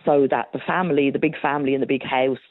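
A woman's voice: rumbling low, fairly even intonation, fast talker, no echo. A woman historian answering interview questions in a chatty storytelling way, laughing often and using plenty of fillers. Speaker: historian guest. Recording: tinny phone-like line.

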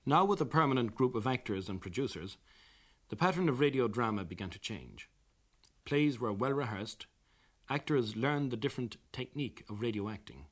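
A man's voice: medium pitch, expressive, moderate pace. An Irish man presenting a radio documentary in scripted narration.

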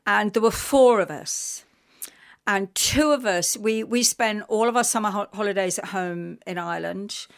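A woman from London with an English accent, a guest chatting frankly and quickly in a radio interview. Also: mid-range voice, gravelly. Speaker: guest performer. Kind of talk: casual interview chat.

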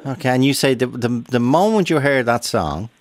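A man hosting a radio chat, encouraging and quick to affirm his caller. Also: medium pitch, expressive, a little mellow. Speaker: radio host. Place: radio studio.

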